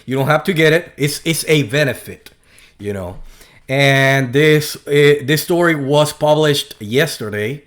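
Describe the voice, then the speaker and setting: A man with a Puerto Rican accent talking casually to camera, halting often with fillers and restarts and dropping in sarcastic asides. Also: on the high side, fairly bright tone. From Puerto Rico. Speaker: video host.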